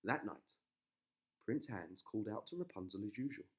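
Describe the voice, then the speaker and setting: A man's voice: lowish voice, sing-song, normal speed, slightly rough. A man narrating a children's bedtime story as a dramatic storyteller.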